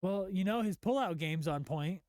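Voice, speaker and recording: A man's voice: sits high, lively intonation, moderate pace, darkish tone, dry recording, studio quality. A man co-hosting a podcast, reacting in casual banter. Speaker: podcast co-host.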